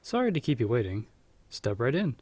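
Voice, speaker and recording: A man's voice: medium pitch, sing-song, brisk, a little mellow, breathy edge. A man playing a cheerful, obliging elevator operator in an ASMR roleplay, with breezy, friendly patter. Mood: cheery, obliging.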